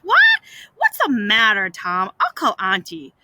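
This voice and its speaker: A woman's voice: fairly high pitch, wildly swooping pitch, a little slow, very noisy. A woman voicing a frightened, earnest young boy in a storybook, exclaiming urgently. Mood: alarmed, worried. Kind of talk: storybook character voice.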